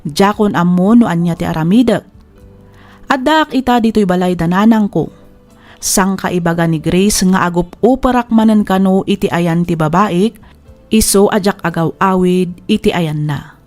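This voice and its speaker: A woman's voice: lowish voice, normal speed, a little mellow. Woman narrating over music. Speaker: drama program host. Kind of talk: scripted narration, reading letters and giving advice. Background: music under the voice.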